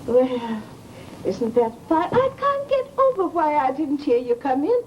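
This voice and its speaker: A gossipy American landlady in a vintage radio chiller. Her voice is high and her talk is folksy and exclamatory, quick to take offense. The old recording has some noise under it. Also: very animated, darkish tone, low-quality recording. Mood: curious, prying. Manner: gossipy, folksy, exclamatory, huffy when slighted. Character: chatty, nosy, easily offended landlady, Missus Hawkins. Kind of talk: old-time radio horror drama acting.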